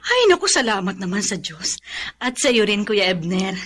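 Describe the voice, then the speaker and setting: A woman's voice: medium pitch, very animated, moderate pace, fairly bright tone, a little hiss or hum. An actress playing a caring, supportive middle-aged mother in a radio drama. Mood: grateful. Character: caring, supportive mother, chides her husband.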